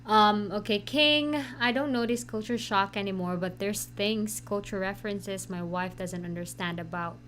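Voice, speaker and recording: A woman's voice: mid-range voice, moderate pace, hardly any pauses, fairly bright tone, a little hiss or hum. Easygoing woman co-hosting a podcast. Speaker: podcast co-host. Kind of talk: casual podcast chat.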